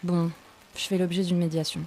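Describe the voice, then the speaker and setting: A woman's voice: lowish voice, level tone, normal speed, a little mellow, slight background noise, natural voice. A woman playing a proud, overworked mechanic in an audio drama, colloquial and aggrieved. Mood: troubled. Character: proud, overworked, touchy female workshop mechanic. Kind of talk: French audio drama dialogue.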